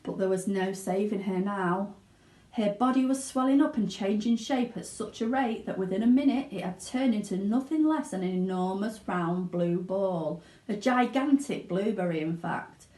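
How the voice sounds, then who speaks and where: A woman reading a children's storybook aloud as narrator, in an expressive style. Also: medium pitch, normal speed, echoey room, low-quality recording. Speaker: school teaching assistant reading aloud.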